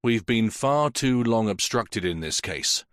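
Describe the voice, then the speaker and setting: A man voicing a police inspector who questions a witness in a measured, firm way. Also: fairly low pitch, animated, fairly bright tone, no echo, clean studio sound. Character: stern, patient male police inspector. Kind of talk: audiobook character voice.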